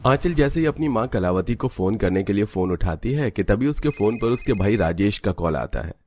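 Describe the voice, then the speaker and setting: A man reading scripted narration for an animated family story. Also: on the low side, moderate pace, slight background noise.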